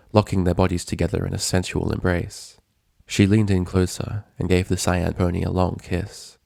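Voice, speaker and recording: A man reading a scripted story as narrator, in a measured, dramatic storytelling manner. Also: sits low, creaky, darkish tone, no room sound, clean studio sound.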